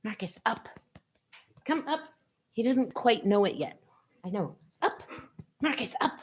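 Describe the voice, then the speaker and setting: A middle-aged woman hosting a casual live stream, playful and chatty, greeting viewers by name with high swoops in her voice. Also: sing-song, a little slow, a little hiss or hum. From United States. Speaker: livestream host, physical therapist. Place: small office.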